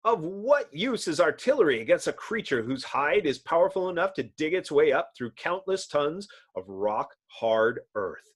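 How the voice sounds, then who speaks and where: A man's voice: fairly high pitch, big pitch swings, moderate pace, few pauses, breathy edge. A man narrating a comic book dramatically, with theatrical, suspenseful emphasis.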